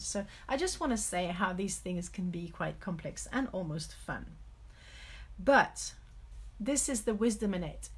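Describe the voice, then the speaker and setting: A middle-aged French woman speaking English with a French accent, giving a candid, reflective motivational talk with personal stories, often correcting herself, in a husky voice. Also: medium pitch. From France. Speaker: motivational coach hosting a live stream.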